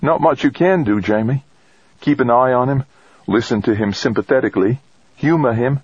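A man's voice: medium pitch, moderate pace, slightly rough, no room sound, muffled recording, voice pushed up, lightly acted. A man reading an audiobook, voicing a friendly, thoughtful woman colleague with a breezy, colloquial manner. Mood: gently reassuring. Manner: breezy and colloquial, calling people mate. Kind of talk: audiobook character dialogue.

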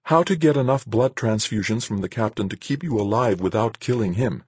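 A man performs a defiant, self-assured woman from an audiobook story, her voice lifted high and a little husky. She speaks firmly and sharply, with lively swings in pitch, like someone sure of her ground. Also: brisk, no echo. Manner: firm, sharp.

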